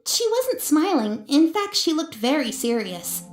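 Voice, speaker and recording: An actress playing an observant, hesitant female witness in a video game, speaking deliberately as she recollects. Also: high-pitched, big pitch swings. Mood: thoughtful. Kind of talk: video game character acting. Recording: faint music, roomy sound.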